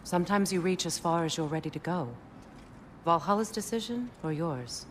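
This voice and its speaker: An actress playing a serious, cautionary guardian in a fantasy video game, sober and measured in her warnings. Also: fairly low pitch, a little hiss or hum, lightly acted. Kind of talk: dramatic video game acting.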